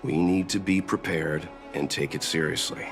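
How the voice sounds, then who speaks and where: Deep, gravelly, prudent mentor. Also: male voice, fairly even intonation. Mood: cautioning. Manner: measured, reasoned, reassuring. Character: calm, prudent middle-aged mentor, guiding younger companions. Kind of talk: video game voice acting. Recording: music behind the voice.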